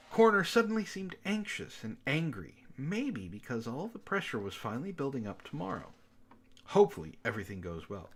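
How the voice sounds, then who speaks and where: A man with a mid-pitched, very lively voice reads fiction aloud as a book club co-host. His delivery swings expressively up and down, and he drops in sarcastic, exasperated asides. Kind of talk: expressive reading of amateur fiction with mocking asides.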